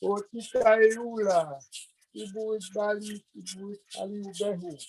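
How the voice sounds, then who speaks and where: A woman from Dominica leading an opening prayer, singing and chanting slowly. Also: mid-range voice, steady tone, darkish tone, breathy edge, low-quality recording. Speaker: prayer leader.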